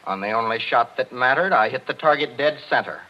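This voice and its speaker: An actor playing a brash, impatient young man, blunt and excitable, in 1940s radio drama style. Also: mid-range voice, fairly quick, heavy background noise, lightly acted. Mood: cocky, irritated. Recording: dull vintage radio recording.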